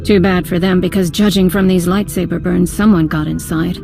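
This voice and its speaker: A woman's voice: lowish voice, steady tone, fairly quick, vocal fry. A woman acting a frank, alert and wary adventurer in a video game, speaking directly. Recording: music behind the voice.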